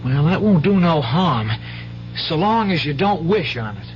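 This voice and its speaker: A man's voice: a little high, very animated, measured pace, faint music behind. An actor playing a cautious, kindly teenage country boy with hesitant, folksy speech in a radio drama. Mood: gently warning. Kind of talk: 1970s radio drama acting. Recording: dull, hissy old radio broadcast.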